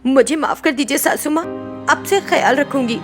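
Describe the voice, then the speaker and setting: A woman puts on a meek young bride for a cartoon family story. The voice is pitched high, well above an everyday speaking tone, submissive and plaintive, over soft background music. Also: normal speed, close to an everyday voice. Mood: meek, apologetic. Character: meek, sorrowful young new bride, obedient daughter-in-law.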